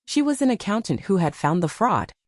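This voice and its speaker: A woman's voice: medium pitch, big pitch swings, a little fast, a little mellow, no echo, studio quality. A woman reading a dramatic scripted story as an audiobook narrator.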